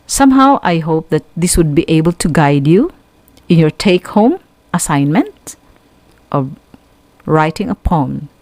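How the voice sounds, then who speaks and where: A woman with a Filipino accent giving an instructional radio lesson in a deliberate, teacherly way. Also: low-pitched, big pitch swings, a little mellow, no room sound.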